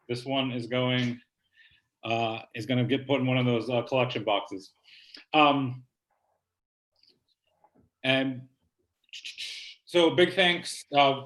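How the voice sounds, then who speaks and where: A man with an ordinary mid-range voice hosts a poetry evening from home over a video call. He talks in a loose, halting way, filling pauses with ums and starting phrases over, then reads a poem at a slower, careful pace. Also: medium pitch, rough recording. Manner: relaxed, halting, many "um"s and restarts. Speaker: host of a virtual poetry reading. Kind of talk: hosting and reading a poem aloud. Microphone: laptop mic on video call.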